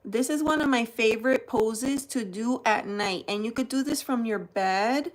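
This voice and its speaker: A woman from New Jersey, hosting a live-stream card unboxing and greeting viewers by name. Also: a little high. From New Jersey, United States. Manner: chatty, greets viewers by name while showing cards; slow, unhurried delivery. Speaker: tarot channel host.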